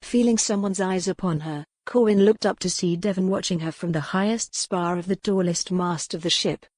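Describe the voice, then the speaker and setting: A woman's voice: on the low side, moderate pace, a little mellow, no echo. A woman narrating scripted dramatic fiction as an audiobook.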